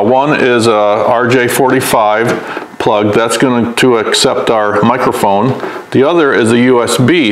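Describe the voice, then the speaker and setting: A man's voice: medium pitch, noisy background. A man in his fifties with an American accent, explaining a DIY install step by step in a plain-spoken, conversational way. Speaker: host of a DIY vehicle install channel. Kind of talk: hands-on tutorial explanation, conversational.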